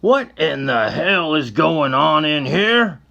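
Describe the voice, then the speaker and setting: A man voicing a crooked, blustering old-West sheriff who barks his words loudly and with scorn. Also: medium pitch, measured pace, pitched above the performer's own voice. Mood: barking. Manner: loud, gruff, scornful barking.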